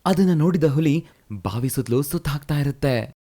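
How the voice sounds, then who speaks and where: Male narrator, warm mellow voice, plain brisk storytelling. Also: on the high side, animated.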